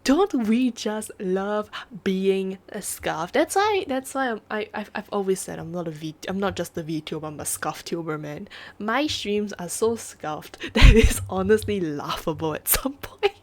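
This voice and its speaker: A woman's voice: mid-range voice, animated, unhurried, few pauses. A young woman chatting casually on a live stream, sniffly from a runny nose, rambling and laughing at herself. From Singapore. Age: young adult. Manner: giggly, rambling, self-mocking. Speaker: VTuber streamer. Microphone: home streaming microphone.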